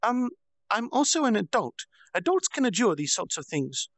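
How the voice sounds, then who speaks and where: A man's voice: sits high, very animated, normal speed, no echo, voice pushed up, full character voice. A man voicing a proud, childlike girl demon lord who boasts in a bubbly sing-song.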